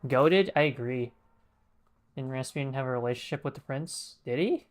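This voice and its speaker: Mid-pitched male game streamer, casual. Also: normal speed. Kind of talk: casual livestream game commentary. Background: game music.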